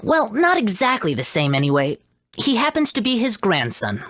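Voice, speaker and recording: A friendly lil game scientist critter, showy and excitable, pitch all over, dry recording. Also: female voice, normal speed, no room sound. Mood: reassuring. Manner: showy, emphatic, enthusiastic. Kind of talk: English video game voice acting.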